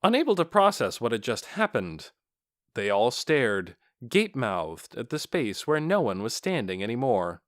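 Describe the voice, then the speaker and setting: Male narrator, mid pitch, lively intonation, slow pace, dry close sound, dramatic fantasy audiobook. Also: dry recording.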